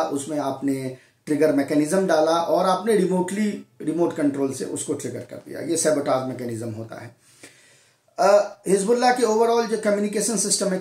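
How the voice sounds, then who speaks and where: An Indian man giving unscripted news analysis in a halting, explanatory way, posing questions and answering them himself. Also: fairly high pitch, darkish tone. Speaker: news commentator. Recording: echoey room.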